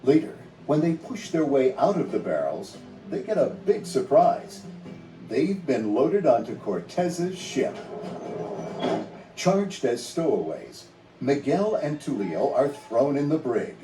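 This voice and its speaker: A man's voice: medium pitch, mellow. A man reading a children's read-along story in a dramatic, scripted storytelling manner. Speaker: narrator. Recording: roomy, distant sound under music.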